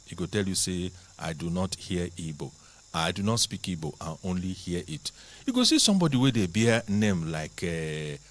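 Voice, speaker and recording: A man with a Nigerian accent, hosting a studio talk show in a chatty, animated way. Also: fairly low pitch.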